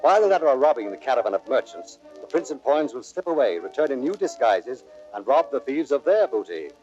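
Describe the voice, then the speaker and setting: A man's voice: medium pitch, big pitch swings, fairly quick, darkish tone, dull audio, faint music behind, natural voice. A man giving scripted dramatic narration as the narrator of a play.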